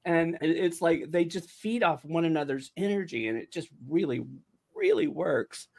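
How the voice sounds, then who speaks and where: A man's voice: fairly high pitch, big pitch swings, moderate pace, gravelly, a little mellow. A man chatting casually and effusively, with emphatic phrasing, as one of the writers on a podcast. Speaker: audio drama writer and podcast host. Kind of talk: casual chat.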